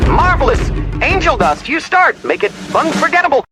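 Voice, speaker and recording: A man's voice: very high voice, very animated, moderate pace, music in the background. A man using an AI voice changer to voice a gleeful, devilishly polite radio demon host with showy, theatrical patter. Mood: gleeful showmanship. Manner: showy, theatrical announcer delivery. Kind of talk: old-time radio showman patter.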